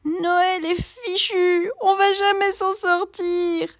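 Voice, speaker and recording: Lady voicing a dramatic crybaby girl, squeaky high, wails about everything. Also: fairly even intonation, unhurried, no echo, clean studio sound. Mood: despairing. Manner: wailing, melodramatic. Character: dramatic, tearful little girl.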